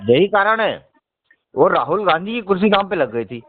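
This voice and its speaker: High-pitched man on a phone line. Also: very animated, normal speed. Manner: insistent, explanatory, addressing the listener as brother. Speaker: journalist discussing politics. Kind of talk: casual political phone chat. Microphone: phone call. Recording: tinny, narrowband phone call recording.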